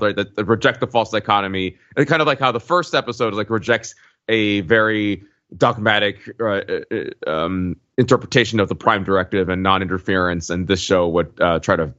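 A man co-hosting a podcast, explaining things casually in a halting way, repeating words as he forms thoughts. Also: on the low side, fairly bright tone, dry recording, dull audio. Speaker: podcast co-host.

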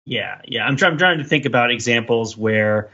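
A man's voice: medium pitch, normal speed, pushed and bright, highs cut off. A man talking casually and analytically as a podcast host, discursive and emphatic in his delivery.

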